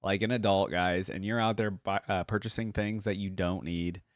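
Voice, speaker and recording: A man's voice: deep voice, steady tone, moderate pace, no room sound, studio quality. A young adult man giving casual reaction commentary, musing aloud in an informal way. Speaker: reaction video host.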